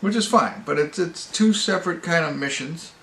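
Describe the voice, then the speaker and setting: Male movie-review host, casual and rambling, mid-pitched with vocal fry, roomy sound with faint background noise. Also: a bit bright. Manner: halting, rambling, self-correcting. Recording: echoey room with faint noise.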